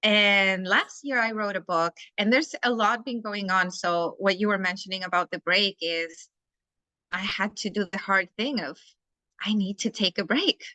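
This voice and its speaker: Chilean woman, Spanish-accented English, candid and blunt, bright sharp tone, dead-dry sound. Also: mid-range voice, normal speed, dry recording, rough recording. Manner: candid, frank and outspoken. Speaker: guest, life coach. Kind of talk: casual interview conversation.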